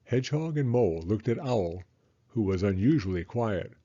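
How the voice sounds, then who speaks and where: A man narrating a children's story as an expressive read-aloud. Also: on the low side, moderate pace. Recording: slightly dull, muffled audio.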